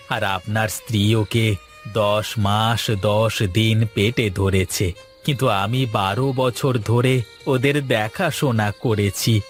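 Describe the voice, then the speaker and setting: A man voicing a poor, protective boatman and devoted adoptive father in an earnest cartoon drama. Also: fairly low pitch. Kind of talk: Bengali cartoon voice acting.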